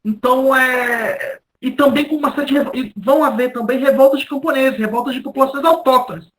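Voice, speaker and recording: Bright-voiced Brazilian man lecturing. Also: very high voice, low-quality recording. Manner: halting, repeats and rephrases words. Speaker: history professor, guest lecturer. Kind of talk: academic lecture, spoken off the cuff.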